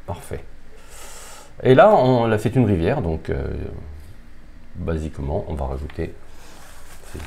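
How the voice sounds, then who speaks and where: A man with a deep, mellow voice presents a board game casually. His intonation is very lively, yet he pauses to think aloud as he explains. Faint background noise sits behind him. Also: vocal fry. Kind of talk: casual unscripted game demonstration. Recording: background noise, room ambience.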